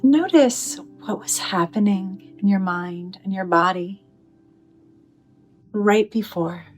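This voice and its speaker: A woman leading a guided meditation in a gentle, soothing manner, with deliberate phrasing and pauses. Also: mid-range voice, soft background music.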